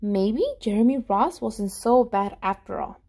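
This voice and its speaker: A woman reading a storybook aloud to children in an expressive, animated way, pausing to put questions to them. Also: medium pitch. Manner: animated, with dramatic gasps and emphasis.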